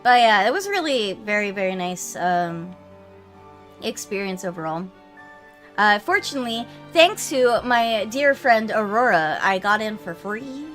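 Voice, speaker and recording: A woman hosts a livestream in a casual, chatty way. Her voice sits at a middle pitch, and faint music plays behind her. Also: big pitch swings, unhurried, a bit bright. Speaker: streamer hosting a live game readthrough.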